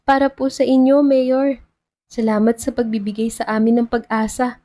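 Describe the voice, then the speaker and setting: A woman voicing an excited young female student who exclaims gratefully in an audio love story. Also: on the high side, moderate pace, voice pushed up, lightly acted.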